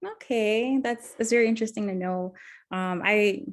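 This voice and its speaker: A woman's voice: mid-range voice, normal speed. A woman in her twenties acting as counselor in a practice intake session, talking hesitantly with many fillers and restarts. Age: 20s. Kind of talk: mock counseling intake conversation.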